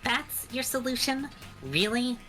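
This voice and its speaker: A woman's voice: on the high side, expressive, unhurried, poor audio. A woman voicing a well-meaning, pleading monkey mascot from a video game, with music behind. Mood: earnest, pleading. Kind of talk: amateur game character voice acting.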